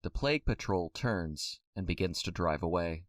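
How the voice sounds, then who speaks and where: Deep-voiced man narrating an audio drama. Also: expressive, moderate pace, no room sound, studio quality. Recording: clearly noisy recording.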